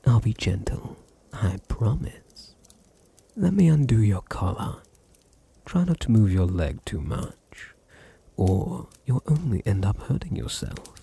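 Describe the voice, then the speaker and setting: A man performs a seductive British vampire master in an intimate ASMR roleplay. His voice is dark and husky with a creaky edge, often sinking toward a whisper. He purrs condescending endearments, domineering and teasing. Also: on the low side, sing-song. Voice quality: breathy, often dropping to a whisper. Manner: slow, purring, condescending endearments. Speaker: audio roleplay voice actor.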